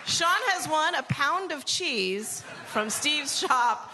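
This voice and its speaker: Woman radio host, higher voice, live stage show, audience and noise behind. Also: lively intonation, takes it slowly, pushed and bright, poor audio.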